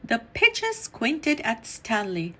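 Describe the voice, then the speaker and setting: A woman reading a children's story aloud in an animated, deliberate storytelling manner. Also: on the high side, slightly rough. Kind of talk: expressive read-aloud storytime narration.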